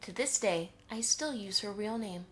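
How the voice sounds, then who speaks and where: A woman voicing a gentle, motherly mare who talks in a fond, reassuring way in an audio drama. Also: mid-range voice, moderate pace, close to an everyday voice. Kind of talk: fan audio drama acting.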